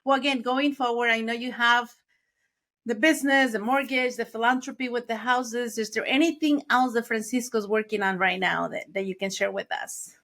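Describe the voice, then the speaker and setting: A woman's voice: on the high side, steady tone, normal speed, fairly bright tone. A woman from Mexico whose first language is Spanish, chatting casually as a podcast host. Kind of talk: casual interview chat.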